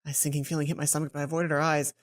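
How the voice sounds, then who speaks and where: A woman's voice: deep voice, little pitch movement, racing. A woman hosting a let's play, reading a visual novel aloud with casual asides, music behind her.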